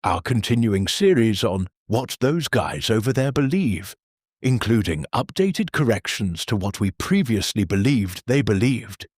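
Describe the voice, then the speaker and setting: An actor playing a stiff, rule-bound male church minister who reads announcements in a formal, deadpan, bureaucratic way. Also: lowish voice, measured pace, husky, no echo, studio quality, heavily acted. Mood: solemnly formal. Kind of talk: satirical audio drama.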